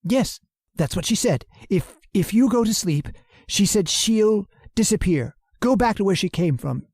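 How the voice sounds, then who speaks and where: Henpecked husband female performer, radio horror play. Frightened, halting, raspy and muted in tone, with a reverberant hall around the voice. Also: medium pitch, lively intonation, darkish tone. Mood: evasive, nervous. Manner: stammering, hesitant, pleading. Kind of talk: old-time radio horror drama acting.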